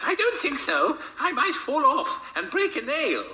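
Squeaky, naive, childlike John. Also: male voice, wildly swooping pitch, measured pace, full character voice. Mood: naive, eager. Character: eager, naive, prissy man named John, childlike. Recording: roomy theatre sound, faint background noise.

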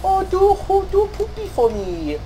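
A woman's voice: very high voice, wildly swooping pitch, moderate pace, warm tone, a little hiss or hum, pitched above the performer's own voice, exaggerated voice, poor audio. A woman voicing a childish, mindless game fan, gushing with babyish mock awe. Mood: mock delight. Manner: babyish, squealing, mock-awestruck.

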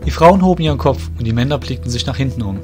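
A man's voice: medium pitch, expressive, quick pace, darkish tone. A man reading scripted fantasy narration as the narrator of an audio drama. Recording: music behind the voice.

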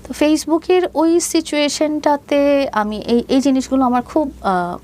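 A woman giving a personal account as an interview guest, pausing often and mixing in many English words. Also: high-pitched, a little mellow. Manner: hesitant pacing, heavy mixing of English words.

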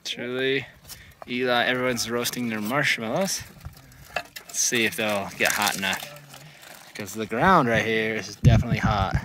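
Excited man vlogging outdoors in wind. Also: on the low side, moderate pace, long pauses. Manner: excited, exclaiming, explaining to camera. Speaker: family vlogger. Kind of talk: casual vlog narration. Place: outdoors on a volcano slope. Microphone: handheld camera, selfie framing. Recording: loud wind and outdoor noise.

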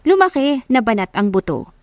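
A woman's voice: on the high side, big pitch swings, normal speed, a little mellow, no echo. A woman reading scripted narration of a love story into a close microphone.

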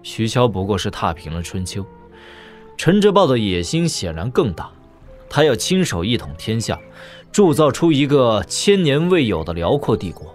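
A male actor plays a sharp-minded commanding lord in a wuxia audio drama. His mid-pitched voice moves expressively, with music underneath. The delivery is casual and sardonic. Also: sing-song, normal speed, close to an everyday voice. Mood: sardonic, pensive.

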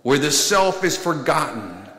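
Slow deliberate priest in echoing church. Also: male voice, a little high, big pitch swings, a bit bright. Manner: slow, deliberate preaching with emphatic pauses and gestures. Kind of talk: sermon. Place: large church, at a pulpit. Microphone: pulpit microphone. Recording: echoey church with long reverb.

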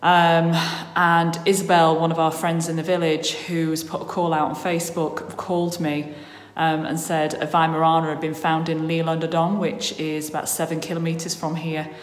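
A woman's voice: deep voice, flat delivery, moderate pace, few pauses. A woman, talking worriedly into a phone held at arm's length in a casual vlog. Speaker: vlogger. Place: outdoors in the countryside and indoors at home.

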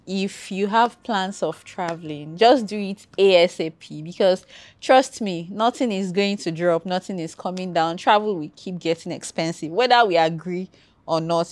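A Nigerian woman with a Nigerian accent, a travel content creator giving advice as a podcast guest in a relaxed, chatty way and repeating points for emphasis. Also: fairly low pitch, no room sound. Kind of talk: casual conversational interview.

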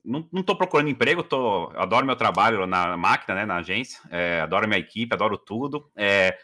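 A man's voice: lowish voice, expressive, quick pace, pushed and bright. A man, telling his story as a guest on a video call, chatty with many fillers. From Brazil. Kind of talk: casual interview storytelling. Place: living room at home.

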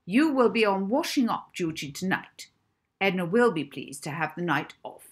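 A woman's voice: lowish voice, sing-song, moderate pace. A woman voicing a strict, spiteful schoolmistress in a clipped, scolding manner for a storybook. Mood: stern.

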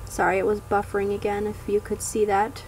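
A woman casually narrating her gameplay voiceover, a bit hoarse from a coming cold, hesitant with many ums and trailing off. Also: medium pitch, monotone, a little fast, a little mellow, a little hiss or hum, rough recording. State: hoarse, getting sick. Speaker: gaming vlogger.

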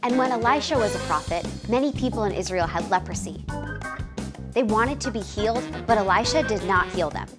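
A woman narrating a Bible story for children in a clear, steady storytelling voice. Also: medium pitch, fairly quick, music in the background.

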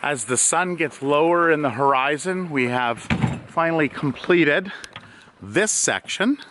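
A man's voice: on the high side, expressive, unhurried, over music. A middle-aged man casually walking through his farm, explaining repairs with many fillers and light chuckles, recorded outdoors on a handheld camera. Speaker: farm vlogger. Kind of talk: casual walkthrough commentary. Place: outdoors on a farmyard.

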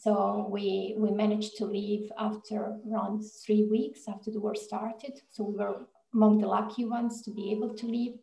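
Woman, 40s, soft mellow tone, relaxed storytelling, roomy video-call sound. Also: medium pitch, moderate pace, vocal fry, few pauses. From Bosnia, with years in Mexico and Austria. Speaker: interview guest, professor. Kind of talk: conversational personal storytelling. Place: indoor room on a video call. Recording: echoey room on video call.

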